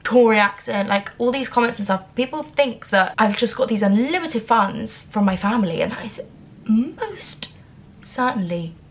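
A woman's voice: on the high side, animated, moderate pace. Chatty British woman in her twenties. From Britain. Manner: chatty and candid, with frequent "like" fillers. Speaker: beauty vlogger. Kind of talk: casual talking-to-camera vlog. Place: bedroom at home, indoors.

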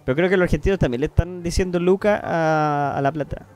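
A young Chilean man with a Chilean accent, chatting casually and loudly on a gaming livestream, swearing freely. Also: a little high, fast, smooth. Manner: loud, excitable, swears freely. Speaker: streamer.

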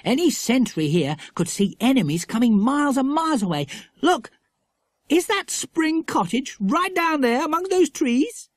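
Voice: high-pitched, sing-song, moderate pace, no room sound, pitched above the performer's own voice. A reader voicing an eager young schoolboy. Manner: eager, exclamatory. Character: excited adventurous schoolboy. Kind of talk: audiobook character reading.

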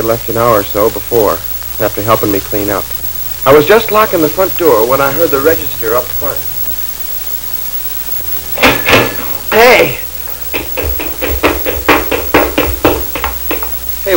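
A man's voice: medium pitch, close to an everyday voice, rough recording. Young male clerk played by a man, 1940s radio drama: boyish, folksy, lovestruck narrator, faint hiss of old film. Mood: alarmed. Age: young adult. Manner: folksy, hesitant, boyish phrasing. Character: earnest, lovestruck young drugstore clerk telling his story. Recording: hissy old film soundtrack.

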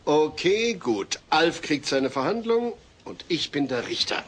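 A man's voice: fairly high pitch, very animated, measured pace, fairly bright tone, husky, heavily acted. An actor playing a fussy, exasperated middle-aged suburban father in a German comedy audio drama, stern and precise. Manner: stern, long-suffering, precise.

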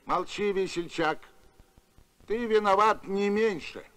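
A man voicing a confident, steady heroic space captain in a cartoon, firm and assured. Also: high voice, slow, smooth. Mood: stern.

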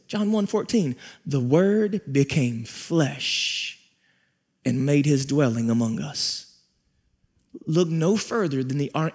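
Pastor, man in his forties, slightly high voice, emphatic sermon delivery with repeated phrases, on stage before a congregation. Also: darkish tone, slightly rough. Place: large church stage with audience.

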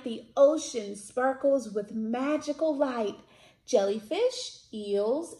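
Woman doing kids storytime, sing-song and expressive, takes it slow, bit of room echo. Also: high voice. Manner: expressive, sing-song reading to young children. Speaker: children's storytime host at a library. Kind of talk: read-aloud picture book storytelling for children. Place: indoors, small plain-walled room. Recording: roomy echo from camera mic.

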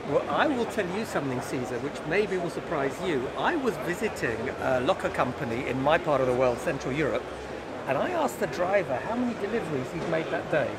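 A middle-aged male host with a somewhat raised voice, upbeat and conversational as he leads the interviews. He was recorded in a big exhibition hall. Steady loud noise sits behind him. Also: a little high, a little fast. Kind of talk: trade-fair interview. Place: busy indoor exhibition hall.

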